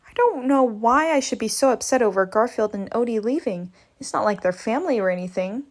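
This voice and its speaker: A woman reading a comic book aloud in a lively way, changing her voice for each character. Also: on the high side, brisk. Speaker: read-aloud narrator.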